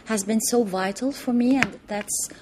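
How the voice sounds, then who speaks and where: A woman from Lebanon, answering interview questions thoughtfully, with many pauses, fillers and restarts, in a TV studio. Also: fairly high pitch, noisy background. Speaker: interview guest, author.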